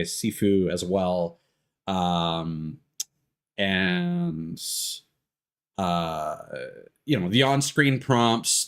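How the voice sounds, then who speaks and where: A man chatting casually as a game streamer, candid and sweary, thinking aloud as he goes. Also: lowish voice. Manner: sweary, candid, self-deprecating. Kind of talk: casual live-stream chat and gameplay commentary.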